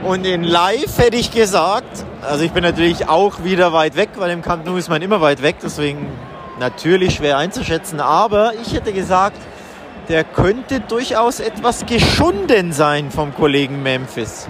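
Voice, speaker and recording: A man's voice: high voice, animated, normal speed. A man giving casual live football commentary as a podcast host, chatting informally as he describes the scene. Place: outdoors in the stands of a large football stadium. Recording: outdoor stadium with crowd sound. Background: stadium crowd noise and music.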